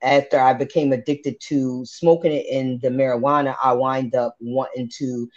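A woman's voice: rumbling low, fairly even intonation, normal speed, darkish tone. A woman in her forties sharing her personal faith testimony, speaking candidly and earnestly with religious phrasing. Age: 40s. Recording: dull lo-fi podcast audio.

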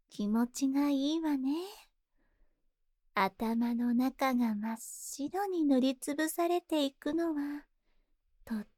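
A woman's voice: high voice, a little mellow, dry recording, clean studio sound. A woman playing a seductive, possessive spider monster in a Japanese ASMR voice drama, tender and coaxing, lingering on her words. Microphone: close ASMR microphone in a dry studio.

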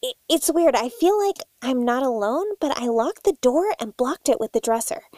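Woman, comedy lead, high and lively, cheerfully unbothered. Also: moderate pace, no room sound, studio quality. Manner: bubbly, oblivious, cheerfully unbothered. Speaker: cast member. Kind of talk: comedic audio sketch.

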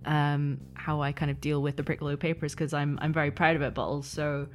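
A woman's voice: low voice, flat delivery, brisk. A woman being interviewed as a guest, speaking casually and thoughtfully, feeling her way through her phrases. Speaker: interview guest, podcast producer.

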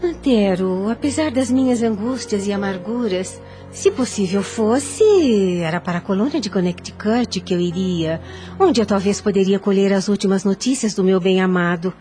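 Woman acting despairing young mother, radio soap melodrama, formal drawn-out delivery, sorrowful, music underneath. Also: mid-range voice, warm tone, close to an everyday voice. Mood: sorrowful, weary. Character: young grieving, ailing mother, devout and despairing. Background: background music.

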